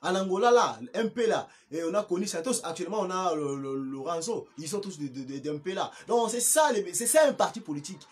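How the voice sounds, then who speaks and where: A man's voice: high voice, expressive, fairly quick, hardly any pauses. A man with a Congolese accent giving impromptu political commentary in an emphatic, repetitive way, often stumbling and restarting. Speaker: political party activist and commentator.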